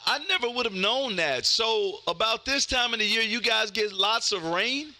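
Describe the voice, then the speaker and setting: A man in his fifties with a loud, exuberant, folksy manner, chatting casually as he hosts amateur radio contacts. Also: sits high, normal speed, very noisy. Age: 50s. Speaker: amateur radio operator hosting a live stream. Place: indoor home radio room.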